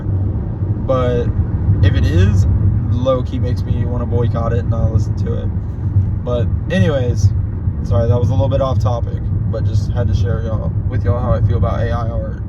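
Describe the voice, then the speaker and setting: A man's voice: mid-range voice, muffled recording. Young male vlogger, stuffy nose, chatty and rambling, recorded from a distance with a lot of noise behind him. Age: young adult. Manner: rambling, chatty, many ums and restarts. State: congested, stuffy voice after illness. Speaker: music reviewer. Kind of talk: casual vlog chat.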